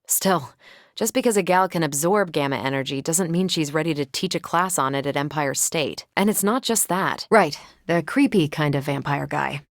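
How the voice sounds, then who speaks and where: A woman playing a confident, wry and brisk superhero soldier in a video game, with a casual, assertive way of talking. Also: sits low, no echo. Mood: easygoing, dry. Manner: casual, dry teasing, assertive. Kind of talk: video game character dialogue.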